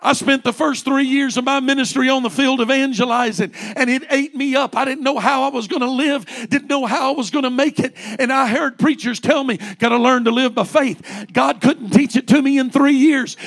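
Man, late forties, pastor, very high-pitched, fervent sermon, repeated refrains. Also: moderate pace, creaky, hardly any pauses, a bit bright. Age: 40s.

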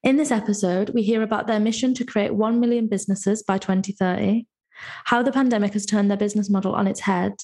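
A woman's voice: medium pitch, steady tone, fast, a little mellow. A woman hosting a podcast, reading a polished scripted intro and then asking interview questions. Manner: clear, polished read. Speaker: podcast host.

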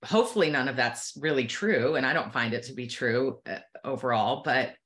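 A bright, sharp-toned voice of middling pitch belongs to an optometrist and practice owner who is a guest on an interview podcast. The talk rambles casually, full of restarts and asides. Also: fairly quick. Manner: conversational, rambling with many restarts.

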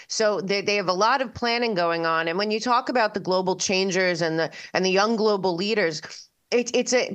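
A woman's voice: mid-range voice, a bit bright. A woman hosting a show, giving conversational political commentary in an emphatic, rambling way. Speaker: show host.

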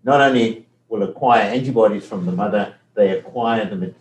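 An older man with a South African accent, a medical professor explaining things in an interview with measured, careful talk and frequent restarts. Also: on the low side, roomy sound, slight background noise.

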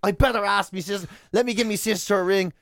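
Higher-voiced Irish man, casual comic banter. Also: fairly even intonation, fast, fairly bright tone, no echo, clean studio sound. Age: 40s. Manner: rambling, self-mocking storytelling. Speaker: radio show co-host.

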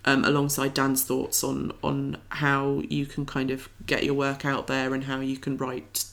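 A woman's voice: a bass voice, completely flat delivery, unhurried, gravelly. A woman co-hosting a podcast, chatting in an informal, enthusiastic way. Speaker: podcast co-host. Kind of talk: casual scripted-free podcast chat.

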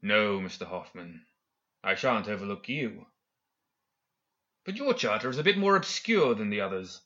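A man's voice: lowish voice, sing-song, normal speed, pushed and bright, highs cut off. A man voicing a smug, always-controlled professor villain in an audiobook, speaking with formal, mocking courtesy. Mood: politely mocking. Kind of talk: audiobook character acting.

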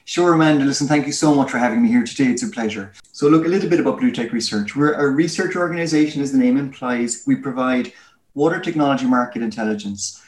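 A man's voice: medium pitch, fast. A man with an Irish accent giving articulate, explanatory interview answers in a storytelling way. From Ireland. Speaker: guest, head of a research firm. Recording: roomy, echoey sound.

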